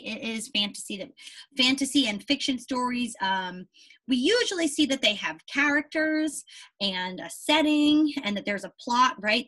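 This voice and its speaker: A woman's voice: on the high side, sharp tone. A young woman teaching a read-aloud lesson to young children, speaking expressively, stressing and repeating key words and checking in often. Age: young adult. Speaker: elementary school teacher. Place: small indoor room with a whiteboard.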